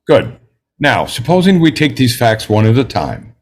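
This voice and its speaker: A man playing a composed, self-assured juror in a script table read, speaking in a measured, precise way. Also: mid-range voice, big pitch swings.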